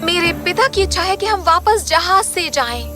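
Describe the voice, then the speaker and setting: A woman's voice: extremely high pitch, lively intonation, moderate pace, music in the background. A woman voicing a sly witch disguised as a sweet young princess, speaking politely and formally in a fairy tale. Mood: sweet, coaxing. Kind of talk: audio fairy tale voice acting.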